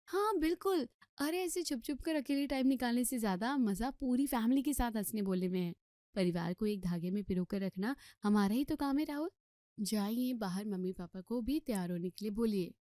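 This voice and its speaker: A woman voicing a sweet, tactful young daughter-in-law, gently persuading as the family peacemaker in a story. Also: fairly high pitch, normal speed, no room sound, studio quality, lightly acted. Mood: cheerfully persuasive.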